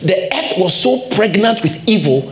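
High, lively pastor preaching. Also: male voice, a little slow, reverberant. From Ghana. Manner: emphatic preaching with repeated phrases. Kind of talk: sermon.

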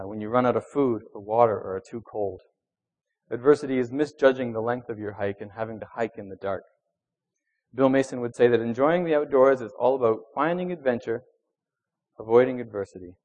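A man's voice: mid-range voice, lively intonation, fairly quick, a little mellow, dull audio. Young male pastor raised in Venezuela, conversational sermon delivery, casual fillers. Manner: conversational preaching with fillers, reads scripture with rising emphasis.

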